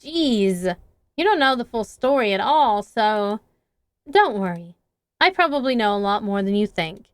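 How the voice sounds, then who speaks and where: A woman voicing a poised, friendly teenage club president who speaks calmly and a little teasingly. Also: mid-range voice, a little slow, clean tone, dry recording, natural voice. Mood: friendly, knowing.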